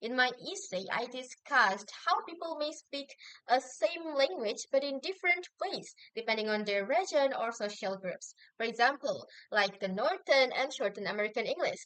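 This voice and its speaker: A young woman, a university student explaining answers in a measured way with occasional hesitant restarts. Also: sits high, lively intonation, a bit bright, dry recording, rough recording. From Indonesia. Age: young adult. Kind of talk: answering assignment questions on video.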